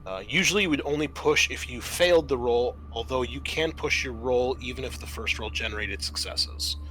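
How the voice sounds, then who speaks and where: Bright-voiced man running a tabletop game. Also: medium pitch, moderate pace, a little hiss or hum, poor audio. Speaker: game master. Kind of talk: narrating and explaining a tabletop game.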